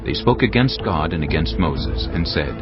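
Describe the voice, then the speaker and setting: A man narrating a dramatized audio Bible in a solemn, measured storytelling manner. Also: deep voice, expressive, lo-fi sound, over music.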